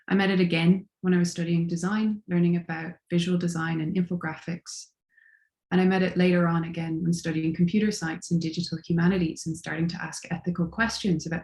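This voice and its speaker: An Irish woman introduces herself on a panel, thoughtful and measured. Her voice sits low and fairly flat in pitch. It comes through with a noticeable room echo. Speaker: senior design strategist. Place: home or office near a city. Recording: roomy echo.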